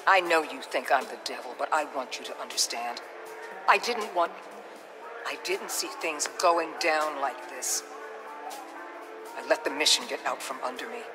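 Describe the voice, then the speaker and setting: A woman's voice: extremely low pitch, moderate pace, long pauses, music in the background. A woman playing a hard-nosed government agency chief in a video game, blunt, authoritative and combative. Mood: measured, grave. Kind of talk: dramatic video game acting.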